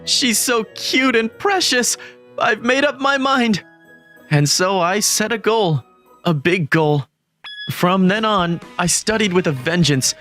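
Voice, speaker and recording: A man voicing an earnest, lovestruck teenage student who narrates a scripted manga story in a measured way. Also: high voice, animated, sharp tone, full character voice. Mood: lovestruck, flustered. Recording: background music under the voice.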